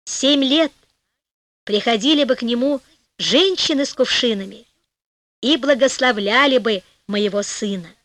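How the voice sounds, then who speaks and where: A woman voicing a gracious fairy-tale queen in a measured, dreamy way. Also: a little high, fairly bright tone, no echo. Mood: dreamy, wishful. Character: gracious fairy-tale queen wishing blessings on her son.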